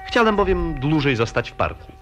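An actor playing a dreamy, easily flustered young male poet in a 1940s radio play, telling his story in a measured, lyrical way. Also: fairly high pitch, very animated. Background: loud music score.

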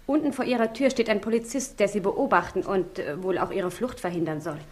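An actress playing a caring young fiancée in a 1960s radio crime drama, with a gentle, solicitous manner. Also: female voice, medium pitch, a little fast, a little hiss or hum, close to an everyday voice. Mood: concerned.

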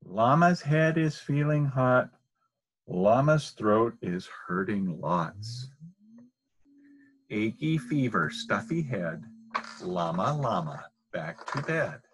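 Middle-aged male storybook reader, husky tone, very lively pitch, sing-song delivery for young children. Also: rough recording. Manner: deliberate, sing-song read-aloud delivery. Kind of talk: reading a children's picture book aloud. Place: small indoor room.

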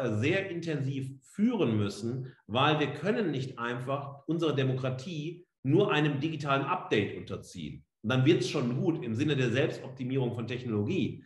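A middle-aged man gives an emphatic, freely spoken online lecture, leaning on rhetorical questions and short tag words. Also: mid-range voice. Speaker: professor giving a guest lecture. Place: indoor room, on video call. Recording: roomy, echoing indoor sound.

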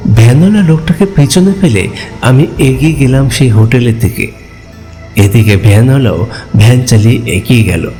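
A man playing a hungry, stranded village traveler, telling his ghost story in expressive, polite, conversational first-person narration and dialogue. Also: medium pitch, normal speed, faint music behind, natural voice. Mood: uneasy storytelling.

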